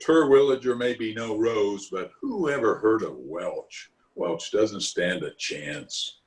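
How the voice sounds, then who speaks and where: A man's voice: lowish voice, animated, takes it slowly. A man playing a blustering, boastful middle-aged town official in an old-time radio comedy, theatrical in manner. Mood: scornful, boastful. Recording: roomy video-call recording.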